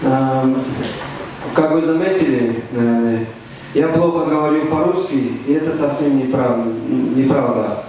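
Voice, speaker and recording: A young man from Tajikistan, performing deadpan stand-up with hesitant restarts. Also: mid-range voice, recorded from a distance. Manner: deadpan, halting with restarts and fillers. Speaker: stand-up comedian. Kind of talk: stand-up comedy set. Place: stage in a club. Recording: echoey room recorded from afar, faint noise.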